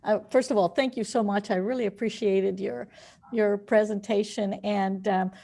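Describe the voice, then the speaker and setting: A woman's voice: mid-range voice, fairly even intonation, normal speed, vocal fry, warm tone. A woman from Cuba, an attendee at an online seminar, sharing a personal story hesitantly, with many fillers.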